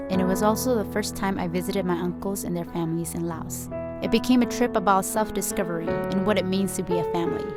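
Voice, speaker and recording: A woman in her twenties, a student filmmaker, narrating her documentary in a calm, reflective, measured way. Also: medium pitch. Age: 20s.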